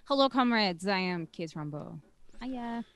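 A woman's voice: medium pitch, measured pace, no echo. A woman co-hosting a podcast, talking brashly and with plenty of swearing. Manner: brash, profane, animated. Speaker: podcast co-host. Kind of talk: casual political chat.

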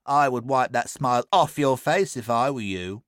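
A man's voice: medium pitch, normal speed, a bit bright, no echo, clean studio sound, lightly acted. A man voicing Tom, a boastful, cheeky young boy who loves being gross. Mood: teasing. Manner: bragging, cheeky.

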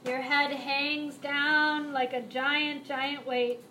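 A woman instructor guiding a live chair yoga and mindfulness class, cueing movements and breaths in a calm, unhurried way. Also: high-pitched, a little hiss or hum. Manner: slow, soothing cueing with breath prompts.

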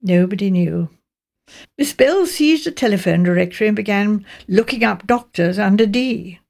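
A woman with a Received Pronunciation British accent, reading a mystery novel as scripted narration in a measured, precise way. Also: mid-range voice, lively intonation, gravelly, darkish tone. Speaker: audiobook narrator.

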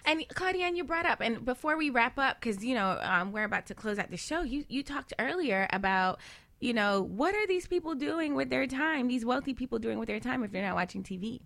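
Female financial advisor, bright forceful voice, emphatic coaching delivery in panel conversation. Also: on the high side, animated, brisk, vocal fry. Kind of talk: lively panel talk on money habits.

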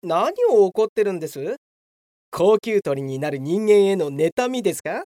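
A woman's voice: medium pitch, sing-song, normal speed, mellow, no echo, clean studio sound, close to an everyday voice. A woman playing a cocky, clueless young male office worker in a comedic radio drama, theatrical and self-satisfied. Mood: smug.

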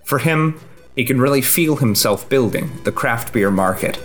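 A man's voice: medium pitch, lively intonation, moderate pace, soft background music. A man hosting a podcast and reading a scripted personal essay aloud.

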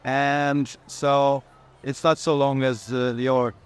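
Guy from hungary, friendly intro, nice smooth clear voice. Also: medium pitch, little pitch movement, slow pace. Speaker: panel guest, label runner. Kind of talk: casual self-introduction.

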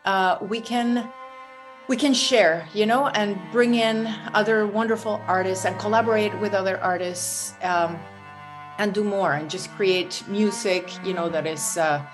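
A woman's voice: medium pitch, fairly even intonation, measured pace, over music. A woman from Venezuela, a singer and bandleader, speaking earnestly in a radio interview, often restarting and saying "you know".

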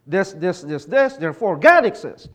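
A man's voice: sits high, sing-song, moderate pace, fairly bright tone. Filipino-born man giving a church talk. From Philippines. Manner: explanatory, asks the audience questions. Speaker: conference speaker. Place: conference hall.

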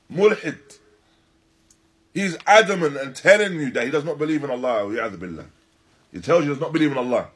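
A man teaching in a religious lecture, deliberate and emphatic, repeating and rephrasing his phrases as he explains a text. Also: on the high side, breathy edge. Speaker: religious teacher giving a lesson. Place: Islamic centre, live stream.

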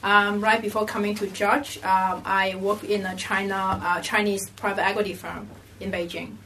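A woman's voice: medium pitch, level tone, moderate pace, sharp tone, distant mic, slight background noise, poor audio. A young woman with a Hong Kong accent introducing her career informally as a podcast guest. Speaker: podcast guest, finance professional.

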